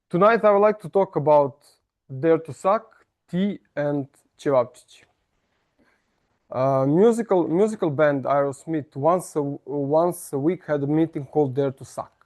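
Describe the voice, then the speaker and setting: A man with a Serbian accent delivers a comic club speech in a fairly high voice. He pokes fun at himself and stumbles into small restarts. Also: darkish tone. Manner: self-mocking, playful, halting restarts. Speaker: club speaker. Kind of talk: humorous prepared speech. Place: meeting room.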